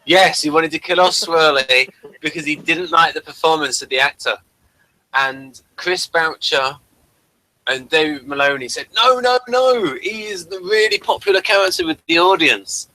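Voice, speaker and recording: A man hosting a casual review chat, explaining things in a halting way and often restarting mid-thought. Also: on the high side, bright, forceful tone, rough recording. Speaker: podcast host.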